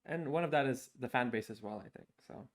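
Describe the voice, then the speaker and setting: A man's voice: mid-range voice, fairly quick. A man in his twenties chats on a podcast, working through his points as he goes. He restarts and hedges, dropping fillers along the way. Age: 20s. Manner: thinks aloud, many restarts and "like". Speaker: podcast co-host. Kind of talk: casual podcast chat.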